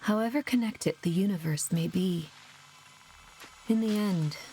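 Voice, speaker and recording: An actress playing a somber narrator of a sci-fi horror game, grave and measured, with a husky voice. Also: female voice, mid-range voice, dark tone, a little hiss or hum, natural voice, low-quality recording. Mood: ominous, somber. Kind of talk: dramatic scripted narration.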